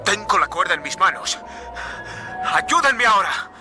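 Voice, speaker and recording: A man's voice: high voice, sing-song, a little slow. An actor playing a desperate stranded man in a suspense radio drama, speaking dramatically. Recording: dramatic music behind the voice.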